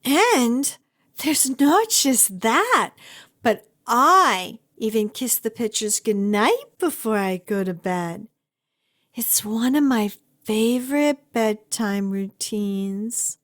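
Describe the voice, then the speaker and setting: Woman acting a high-voiced, lovestruck yandere kidnapper. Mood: giddy, doting. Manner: gushing, coaxing, dramatic emphasis. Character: obsessive, lovestruck female kidnapper, doting and clingy. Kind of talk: ASMR roleplay acting.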